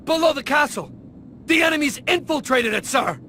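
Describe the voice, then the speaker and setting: Game role: panicked deferential soldier, extremely high, sharp, faint noise behind. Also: male voice, lively intonation, moderate pace, full character voice. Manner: urgent, panicked. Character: frantic, deferential soldier messenger man. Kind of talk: dramatic video game cutscene acting.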